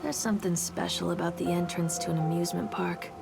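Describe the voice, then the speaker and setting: A woman's voice: lowish voice, steady tone, fast, creaky, over music. A woman acting an anxious mother searching for her missing young son in a video game. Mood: wistful, uneasy. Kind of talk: video game acting, calling out and inner monologue.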